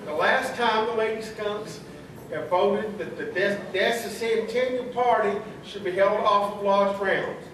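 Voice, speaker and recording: A man's voice: high voice, unhurried, fairly bright tone, a little hiss or hum. A man playing an earnest, officious lodge secretary with a folksy, corny manner in a comic radio play. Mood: officious, jokey. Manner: officious, folksy, awkwardly jokey. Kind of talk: comic radio theatre acting. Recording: very echoey hall, distant mic.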